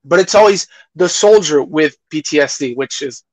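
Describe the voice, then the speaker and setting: Higher-pitched man in casual film discussion. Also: lively intonation, moderate pace, no echo. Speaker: podcast co-host.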